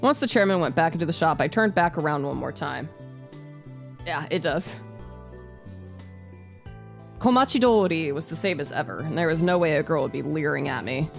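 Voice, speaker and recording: Lively, lowish-voiced woman streaming a game. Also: moderate pace, vocal fry, long pauses, faint music behind. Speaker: VTuber streamer. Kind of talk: reading visual novel narration aloud with casual reactions.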